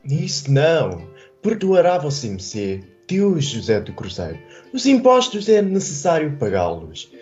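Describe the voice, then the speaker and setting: An actor playing a pedantic, aggrieved village schoolmaster in a radio drama, holding forth like an orator. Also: male voice, fairly high pitch, wildly swooping pitch, unhurried, darkish tone. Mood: pedantic, lecturing. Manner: oratorical, indignant. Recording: dull lo-fi audio over plucked guitar.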